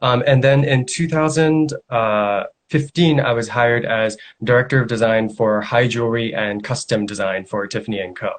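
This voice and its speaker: A man's voice: mid-range voice, steady tone. Hong Kong man with frequent fillers. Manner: measured, hesitant with many fillers. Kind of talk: video call interview. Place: home studio. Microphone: video call.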